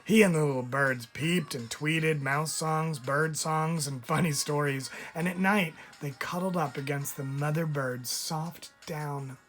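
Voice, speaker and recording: A man, a teacher, reading a picture book aloud in an expressive storytelling style, stressing key words. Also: a little high, a little slow, hardly any pauses. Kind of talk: expressive read-aloud narration for children. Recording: music under the voice. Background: music.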